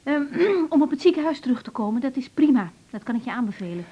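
A woman's voice: high voice, lively intonation, fast, slightly rough. An actress playing an earnest, wounded young female doctor in a radio drama, emotionally open and introspective. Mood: guarded, irritated. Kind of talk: naturalistic radio drama acting, diary-like monologues. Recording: dull radio recording with music.

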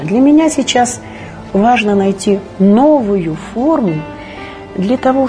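Female theatre director, reflective personal talk, soft mellow tone, medium pitch, light music underneath. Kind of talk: reflective personal talk about own work. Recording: faint music behind the voice.

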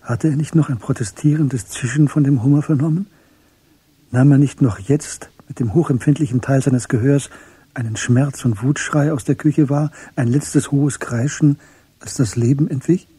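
An actor as the composed narrator of a German crime radio drama, reading in a measured, understated way. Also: male voice, mid-range voice, warm tone, slightly rough, no echo.

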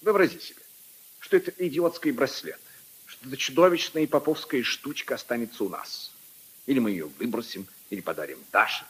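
An actor playing a haughty, indignant aristocratic prosecutor in a pompous, emphatic 1950s radio drama style. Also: male voice, mid-range voice, lively intonation, a little fast, slightly rough, low-quality recording. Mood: indignant, scornful. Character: haughty, indignant aristocratic assistant prosecutor, brother-in-law of a prince. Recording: 1950s archive radio recording.

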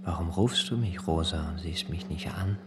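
An actor playing a lost lover returned as an apparition in a radio drama, speaking in a soft, coaxing way. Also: male voice, deep voice, natural voice. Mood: softly coaxing. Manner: slow, softly coaxing. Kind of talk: German radio drama acting. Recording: clear noise and ambience behind.

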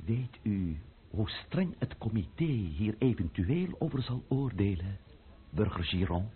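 Casting: sneering, mock-polite revolutionary official for radio drama, hugely animated delivery, narrow vintage sound. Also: male voice, fairly low pitch, slight background noise. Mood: mocking menace. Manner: sneering, mock-polite, drawn-out, bursting into shouts. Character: sarcastic, menacing French revolutionary official berating a subordinate. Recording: muffled old radio play recording.

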